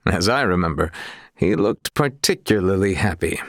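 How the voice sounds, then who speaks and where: An actor playing an earnest, chivalrous young knight in a video game, speaking formally and courteously with a husky, breathy voice. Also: male voice, low-pitched, expressive, a little slow, fairly bright tone, no room sound, studio quality, heavily acted. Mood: warm, nostalgic. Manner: formal, archaic, courtly phrasing.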